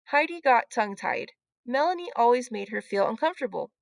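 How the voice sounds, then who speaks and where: A woman's voice: on the high side, animated, fairly quick, vocal fry, no room sound. A woman narrating a children's storybook as a read-aloud storyteller.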